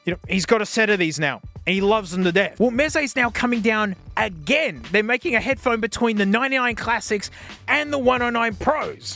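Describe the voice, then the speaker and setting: Man, Australian accent, high-pitched, excitable, slangy, casual gadget review, unscripted. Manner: excitable, jokey, informal slangy talk.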